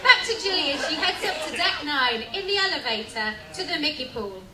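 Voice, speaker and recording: A woman narrating a scripted radio play live, reading out sound-effect cues in a lively way. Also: high voice, brisk. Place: cruise ship venue before a live audience. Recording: echoey distant recording, loud crowd noise.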